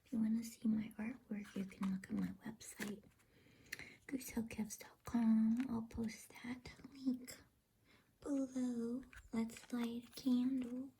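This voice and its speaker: A woman whispering softly and soothingly in an unhurried ASMR style, as the host of a bedtime reading. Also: fairly high pitch, little pitch movement, a little hiss or hum. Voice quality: breathy whisper.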